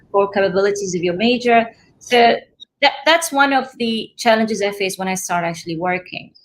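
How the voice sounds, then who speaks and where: Emirati woman, 40s, native Arabic speaker, earnest interview guest, mid pitch, faint background noise. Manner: earnest, frequent restarts and fillers. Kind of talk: casual interview conversation.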